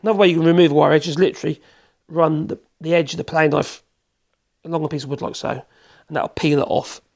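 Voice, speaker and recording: Hoarse man, gravelly. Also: a little high, steady tone, fairly quick, a little mellow. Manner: rambling, chatty, self-correcting, chuckles. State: hoarse with a cold. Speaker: woodworking channel host. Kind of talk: casual workshop demonstration. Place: workshop.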